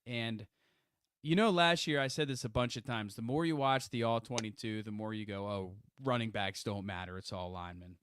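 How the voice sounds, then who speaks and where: A young man co-hosting a sports podcast, talking in a casual, rambling way with frequent restarts and bursts of excitement. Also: on the low side, normal speed, clean tone, dry recording. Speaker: podcast co-host.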